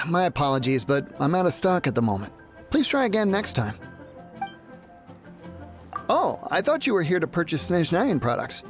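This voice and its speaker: A man's voice: a little high, very animated, normal speed, long pauses, faint music behind. Swooping-voiced, dismissive male trader. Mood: polite, dismissive. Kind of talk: video game voice acting.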